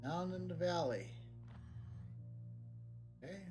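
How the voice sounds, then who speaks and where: A man's voice: sits high, animated, slow pace. A man giving casual gameplay commentary as a streamer, musing and thinking aloud. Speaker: game streamer. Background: game music.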